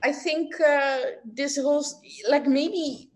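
A woman's voice: high-pitched, slow. A woman in her forties, a writing teacher talking casually as a guest, chatty with many fillers and light laughs. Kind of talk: casual interview chat.

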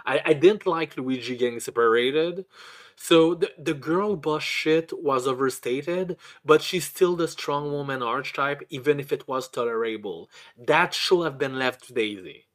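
A man's voice: mid-range voice, unhurried, fairly bright tone. A man co-hosting a podcast, giving a blunt, sweary movie review in casual chat, often stammering and repeating words as he goes. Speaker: podcast co-host.

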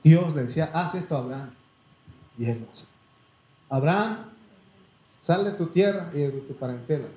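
A man's voice: fairly high pitch, animated, moderate pace, pauses often, mellow. A man preaching a sermon with a Mexican Spanish accent, reading Bible verses and speaking folksily to his listeners as brother. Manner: folksy, addresses listeners as brother, asks for amens. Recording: echoey room, slightly muffled.

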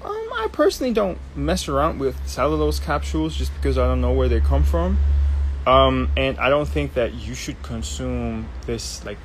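A man's voice: mid-range voice, sing-song, normal speed, poor audio. Young guy doing a chill live q&a, lots of ums, mid voice, bit of background hiss. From Germany. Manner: chatty, explanatory, many ums and restarts. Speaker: host. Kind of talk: casual live Q&A, answering viewer questions.

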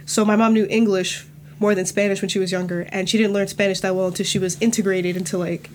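A nineteen-year-old woman talking casually on a podcast, relaxed and full of "like" and "you know" fillers. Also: mid-range voice, fast, a little hiss or hum. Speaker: student guest.

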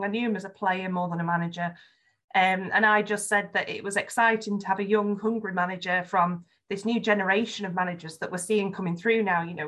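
A woman's voice: medium pitch, a bit bright. A woman with a Yorkshire accent, speaking frankly and earnestly as a podcast guest in a conversational interview, with frequent ums. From Yorkshire, England.

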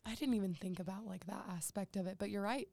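A woman's voice: medium pitch, fast, creaky, darkish tone, no echo. A young woman in college chatting casually on a podcast, joking at her own expense and using lots of fillers. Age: young adult. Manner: chatty, self-deprecating, many fillers. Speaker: podcast co-host. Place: home room.

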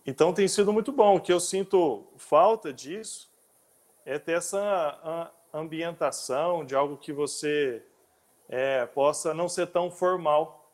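A man hosts a casual interview, his voice pitched a little above the usual for a man. He talks in a halting way, restarting his sentences and filling the pauses as he goes. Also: a little mellow. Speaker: interview host.

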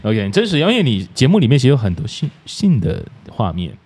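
A man's voice: medium pitch, huge pitch swings, brisk, darkish tone, husky. A man hosting a podcast, interviewing in a casual, teasing chat style. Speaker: podcast host.

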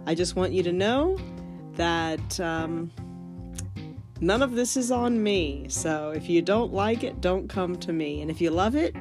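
A woman host speaks at a slow pace with lots of lift and swing in her voice. She is wry and casual, and guitar music plays clearly behind her. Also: fairly low pitch, animated. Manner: wry, playful disclaiming. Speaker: podcast host. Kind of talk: casual podcast introduction.

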